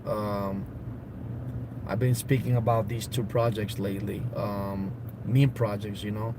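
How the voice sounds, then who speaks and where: Raspy man livestreaming from his car. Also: on the low side, little pitch movement, unhurried. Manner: rambling, repeats himself, upbeat. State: raspy voice from a cold. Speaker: crypto channel host. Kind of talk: casual livestream chat while driving. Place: in a car on the road. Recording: loud road and car noise.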